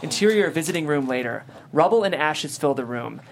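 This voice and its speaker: A man's voice: a little high, lively intonation, a little fast, vocal fry, slight background noise. A man hosting a script read and narrating stage directions.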